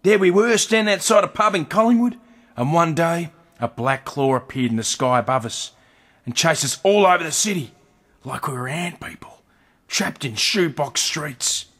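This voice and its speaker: A male actor playing a streetwise, excitable former squatter in a radio play, slangy and urgent, with a husky Australian accent. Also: on the high side, normal speed. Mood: reminiscing, intense. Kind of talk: radio drama acting.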